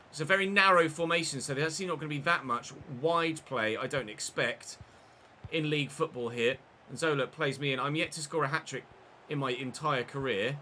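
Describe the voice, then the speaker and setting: A young man commentating his football video game career, chatty and excitable, breaking into shouts when he scores. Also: a little high, a little hiss or hum. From England. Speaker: gaming YouTuber.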